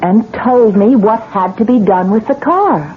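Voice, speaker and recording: An actress playing a distraught young newlywed wife in a 1970s radio drama, stammering and defensive. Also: female voice, fairly high pitch, unhurried, slight background noise, natural voice. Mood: pleading. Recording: tinny old radio broadcast with music.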